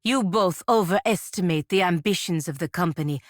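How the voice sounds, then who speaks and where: An actress playing a proud, sardonic fantasy warrior-diplomat in a video game, speaking formally and with dry scorn. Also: female voice, on the low side, normal speed, dry recording, close to an everyday voice. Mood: cool, formal. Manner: formal, clipped, dryly scornful. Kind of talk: video game character dialogue.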